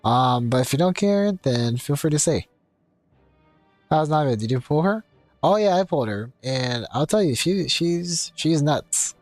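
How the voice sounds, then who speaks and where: A man's voice: on the high side, lively intonation, unhurried, a little mellow, no room sound. Young male streamer, rambling commentary, dry close mic, faint game music. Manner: chatty, rambling, with fillers. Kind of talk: casual gameplay commentary.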